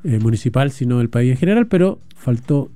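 Chilean man hosting a news show, casual, mellow voice, dry close sound. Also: medium pitch, animated, brisk, no room sound. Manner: casual, conversational. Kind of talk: radio news chat.

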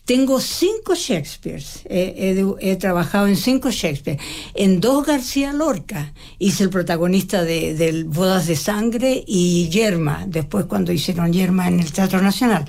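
Older woman, stage actress, interview guest, reflective, halting, restarts phrases. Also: lowish voice, lively intonation, vocal fry, a little mellow. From Mexico. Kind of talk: conversational interview.

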